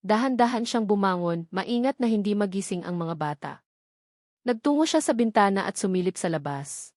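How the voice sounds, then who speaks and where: A woman's voice: medium pitch, lively intonation, moderate pace, a little mellow, no echo, studio quality. A woman with a Filipino accent reading a scripted love story as a dramatic narrator.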